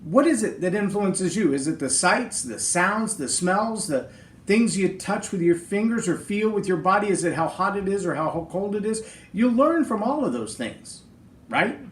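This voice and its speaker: A man's voice: sits high, expressive, normal speed, echoey room. Big-voiced man, earnest leadership host. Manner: earnest, emphatic, speaks in lists. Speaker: podcast host. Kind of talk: motivational leadership talk.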